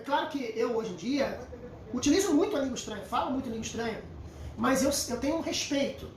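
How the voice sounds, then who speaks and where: A middle-aged Brazilian man with a Carioca accent teaching the Bible informally, emphatic and repetitive like a storyteller. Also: extremely high pitch. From Rio de Janeiro, Brazil. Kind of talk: informal Bible lesson with personal anecdotes. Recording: roomy echo, distant mic, faint noise.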